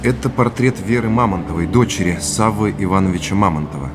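A man's voice: low-pitched, fairly quick, over music, natural voice. An actor playing an earnest, curious man in an audio drama, speaking in brief, thoughtful replies. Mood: attentive. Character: earnest man curious about his family history.

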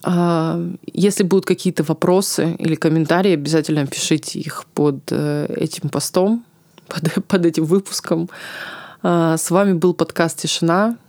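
A woman's voice: deep voice, fairly even intonation, normal speed, darkish tone, dry recording. Female podcast host, shaky tearful voice, hesitant and heartfelt, dry close-miked sound. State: voice trembling from tears. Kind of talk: podcast conversation and sign-off.

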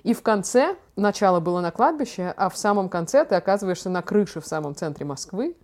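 A woman's voice: fairly low pitch, a little mellow. A young woman co-hosting a podcast in casual, slangy, informal chat. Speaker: podcast co-host, daughter questioning her father.

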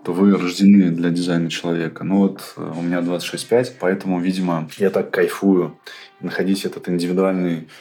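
Guy w a deep flat voice, relaxed and casual, some uh fillers. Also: a little fast, faint music behind. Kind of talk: casual personal testimony.

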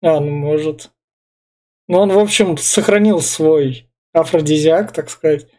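High-voiced man with a mellow tone. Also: unhurried. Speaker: podcast co-host. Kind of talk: casual film discussion.

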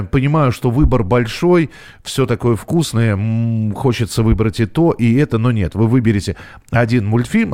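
A man's voice: mid-range voice, a little mellow. A man hosting a casual live radio phone-in, talking in a hesitant way as if thinking aloud. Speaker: radio host.

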